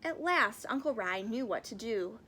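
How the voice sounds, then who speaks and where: A woman reading a children's picture book aloud as an expressive storyteller. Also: a little high, normal speed, slight background noise. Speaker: storybook reader.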